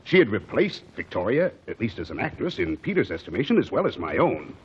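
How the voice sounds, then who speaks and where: A man's voice: fairly low pitch, huge pitch swings, a little fast, husky, heavily acted. An actor playing an earnest male theater producer who speaks persuasively, in 1940s radio acting. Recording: dull old radio broadcast, faint hiss.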